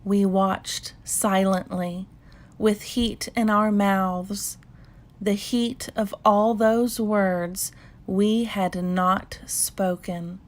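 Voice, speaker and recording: A woman reading a children's story aloud in a calm, measured bedtime style with pauses. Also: mid-range voice.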